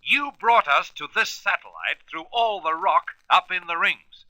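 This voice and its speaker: Bright, forceful space hero. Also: male voice, sits high, expressive, no echo, full character voice, low-quality recording. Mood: stern, commanding. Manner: earnest, emphatic, deliberate commands. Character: commanding, daring young male space adventurer hero. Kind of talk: radio serial acting.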